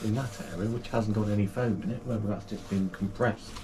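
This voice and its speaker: A man's voice: fairly low pitch, fairly even intonation, brisk, noisy background. Chatty man unboxing at home. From United Kingdom. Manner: chatty and enthusiastic, with frequent fillers and restarts. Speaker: kayaking channel host. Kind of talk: casual product unboxing. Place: small home gaming room, indoors.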